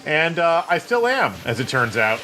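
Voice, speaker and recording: A man streaming a video game, rambling, swearing and joking at himself while his voice turns hoarse and tired. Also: on the high side, big pitch swings, moderate pace. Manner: rambling, swearing, self-mocking. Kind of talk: casual gameplay commentary. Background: game music.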